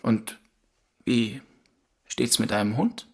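An actor playing a sullen, needy thirteen-year-old country boy in a German audio drama, with a husky voice. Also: lowish voice, slow pace, a little mellow, full character voice. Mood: questioning, sulky.

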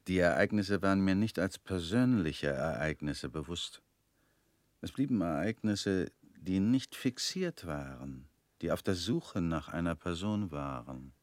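Guy doing a brooding ex-con in a radio play, really deep voice, dry studio sound. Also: lively intonation, a little slow, dry recording. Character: male ex-convict, brooding, bitter. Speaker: radio drama actor.

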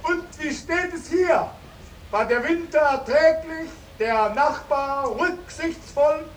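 An actor playing a proud, stiffly polite soldier sweetheart in a 1950s German radio drama. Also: male voice, very high-pitched, slow. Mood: courteous, teasing. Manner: stiffly polite, speaks in third person. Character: Simon, formal, proud, courteous returning soldier sweetheart. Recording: roomy, distant 1950s radio recording, faint hiss.

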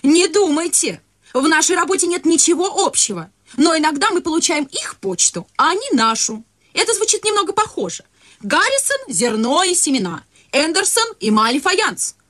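A woman's voice: extremely high pitch, sing-song, normal speed, fairly bright tone, no echo, studio quality, heavily acted. A young woman actor playing a naive, nervously polite secretary in a radio comedy, rambling on in a prim, earnest way. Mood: earnest, chatty. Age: young adult. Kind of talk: radio play comedy acting.

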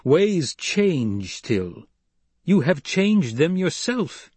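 A man's voice: a little high, sing-song, a little mellow, slightly rough, dry recording, voice pushed up, exaggerated voice. Man acting commanding young desert leader, raised voice. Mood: challenging. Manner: emphatic, deliberate, demanding.

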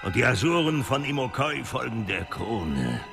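An actor playing a cool, calculating conspirator in a fantasy radio drama, with a commanding, sardonic manner. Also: male voice, mid-range voice, very animated, normal speed, vocal fry, music in the background.

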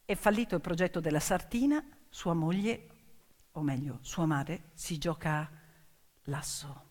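A woman telling a story theatrically in short emphatic phrases with repetition, moving between hushed and loudly projected delivery. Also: deep voice, unhurried. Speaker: stage storyteller. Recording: long hall reverb, music behind. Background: music, piano accompaniment.

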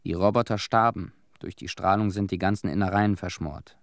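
A disillusioned young war-weary cleanup veteran in a radio drama. His voice sits low and is recorded dry and close. He gives his testimony soberly, in short clipped sentences. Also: a little fast, dry recording. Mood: bleak, resigned. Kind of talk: radio play monologue, testimony.

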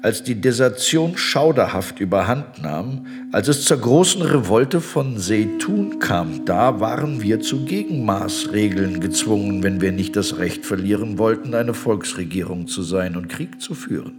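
A man's voice: on the low side, animated, unhurried, hardly any pauses, music in the background. An actor playing an imperious, cold Ottoman minister in a formal, condescending manner in a German radio play. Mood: stern justification. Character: imperious, cold Ottoman war minister, smoothly justifying atrocities.

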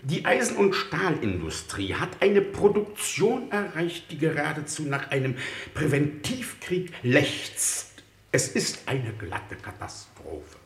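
A fussy, exasperated minister in a satirical radio drama. His delivery is emphatic and very animated, on the high side, with a clear room echo. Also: slow, full character voice. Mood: exasperated despair. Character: fussy male economics minister, comically distressed by prosperity. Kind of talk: satirical German radio play acting.

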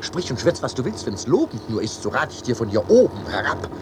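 A man's voice: mid-range voice, big pitch swings, fairly quick, noisy background, lightly acted. A man playing a stubborn, grandiose winegrower and father on a lofty mission, declaiming pompously in a radio comedy. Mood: pompous, scolding. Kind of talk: theatrical radio play of ancient comedy.

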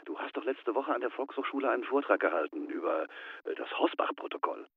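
Jovial old friend: animated, husky, heard down a thin phone line, radio-play dialogue. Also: male voice, medium pitch, quick pace. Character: jovial male old friend phoning, about forty. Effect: telephone filter. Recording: tinny, narrow phone line.

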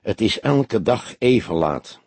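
A man reads the part of a grey-haired old police detective in an audiobook. He is weary but earnest and kindly, measured and emphatic, with a low, husky voice. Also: lively intonation, darkish tone, no echo, exaggerated voice.

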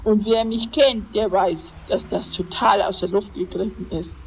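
A woman's voice: medium pitch, fairly even intonation, measured pace, gravelly, a little hiss or hum, low-quality recording. A woman playing a lonely, chatty old lady who rambles plaintively and self-pityingly in a parody radio play. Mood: plaintive, rambling. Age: older.

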